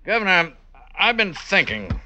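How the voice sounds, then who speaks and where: Folksy sheriff, bright higher-pitched voice. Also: male voice, big pitch swings, a little slow, slight background noise, heavily acted. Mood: sly. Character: folksy, sly, deferential male Western sheriff. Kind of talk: old-time radio drama acting.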